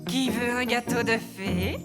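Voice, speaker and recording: A woman's voice: a little high, measured pace, big-room sound, over loud music, natural voice. A woman playing a whimsical, breezy fairy mother in a children's cartoon, airy, playful and exclamatory. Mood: breezy, delighted. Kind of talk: dubbed cartoon acting for children.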